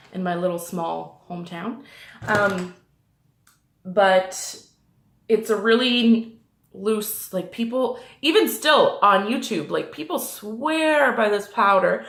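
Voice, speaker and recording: A woman's voice: medium pitch, creaky. A woman vlogger with a slight cold, sounding a bit snuffly, chatting casually through a makeup review and rambling with lots of ums and likes. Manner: rambling, many ums and likes, self-correcting. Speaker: beauty vlogger. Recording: roomy echo.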